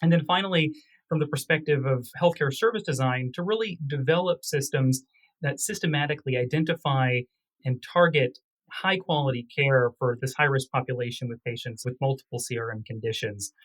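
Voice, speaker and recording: A man with a mid-pitched voice answers as a physician researcher in a medical interview. His phrasing is formal and technical, laid out in a measured, structured way. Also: low-quality recording.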